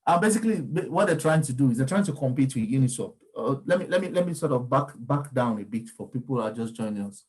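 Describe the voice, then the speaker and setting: A man's voice: medium pitch, animated, fast talker, few pauses, darkish tone. Man explaining markets. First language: Yoruba. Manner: discursive, frequent ums and restarts. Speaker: crypto market commentator. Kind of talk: casual explanatory talk.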